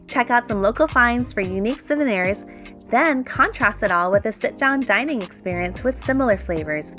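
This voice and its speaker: A woman hosting a travel vlog with a hoarse voice from losing it, touring an outdoor neighborhood market and talking enthusiastically about the food and local products. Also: fairly high pitch. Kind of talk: casual vlog narration. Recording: loud background music. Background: music.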